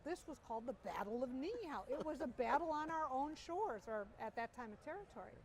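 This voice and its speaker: A middle-aged woman with a voice pitched a little high tells stories with lively enthusiasm. She is interviewed outdoors at an air show, with faint background noise around her. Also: fairly quick. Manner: animated, enthusiastic storyteller. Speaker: interview guest. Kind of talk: storytelling interview.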